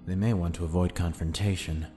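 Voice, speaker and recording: A man voicing a secretive infiltrator soldier reporting to his commander, in a low, measured voice. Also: steady tone, mellow, soft background music, close to an everyday voice. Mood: guarded. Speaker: voice actor in fan audio drama.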